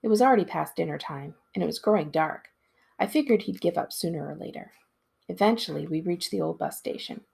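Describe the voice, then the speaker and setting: Female storybook narrator, librarian read-aloud style: expressive delivery, lower register, strongly raspy. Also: fairly quick. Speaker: school librarian reading aloud.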